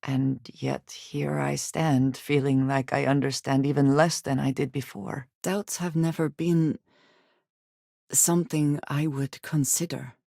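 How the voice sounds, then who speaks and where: A guarded woman space explorer from a video game. Her speech is formal, serious and measured, with few contractions. The recording is close and very dry. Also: a bass voice, level tone, creaky, mellow, no echo, exaggerated voice. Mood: troubled, subdued. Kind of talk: scripted video game companion dialogue.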